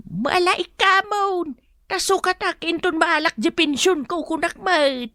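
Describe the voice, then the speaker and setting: A woman's voice: very high voice, measured pace, sharp tone, dry recording, clean studio sound, exaggerated voice. An actress playing an elderly pensioner mother in an Ilocano radio drama, emphatic and bossy as she pleads for her family. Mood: insistent.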